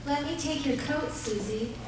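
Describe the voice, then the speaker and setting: Encouraging, gracious mom woman actor, slightly high voice, live radio play, distant mic with background noise. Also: moderate pace, lightly acted. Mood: kindly. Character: kindly, encouraging mother, also narrating memories. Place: live stage in arts center. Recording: echoey hall, distant mic, noisy.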